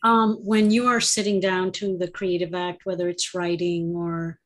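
A woman's voice: on the low side, little pitch movement, moderate pace. A woman, a native Spanish speaker, putting a thoughtful question as a student on a video call.

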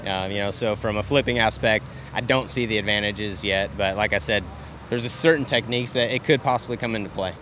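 A male professional angler answering interview questions outdoors in a measured, explanatory way. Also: on the low side, a bit bright. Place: outdoors by boats. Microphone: handheld mic held by interviewer. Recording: faint outdoor noise.

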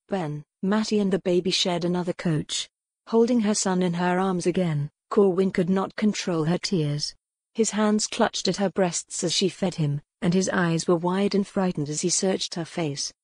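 Woman audiobook narrator, slightly low voice, scripted dramatic romance storytelling, dry recording. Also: darkish tone, no room sound.

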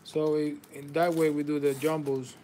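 A man's voice: a little high, level tone, normal speed, dark tone, a little hiss or hum. A man, whose first language is Spanish, hosts a live trading card break, calling out players and congratulating viewers in slightly non-native phrasing. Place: indoor table with card boxes.

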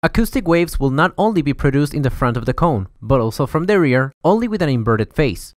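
A man's voice: fairly high pitch, big pitch swings, brisk, dry recording, studio quality. A young man narrating a scripted educational explainer in a formal, methodical way as the host. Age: young adult. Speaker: host of an educational audio series.

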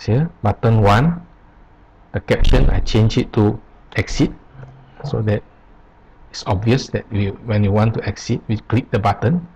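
A man presenting an unscripted software tutorial, explaining step by step in short, halting phrases. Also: on the low side, a little hiss or hum, poor audio. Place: voice-over on a screen recording.

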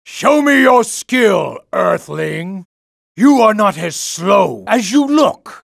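A man voicing a brutish, boastful alien ape-like racer in a video game, gravelly and raspy, taunting in broken English. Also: high voice, big pitch swings, slow pace, no room sound, studio quality, heavily acted. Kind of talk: exaggerated cartoon video game voice acting.

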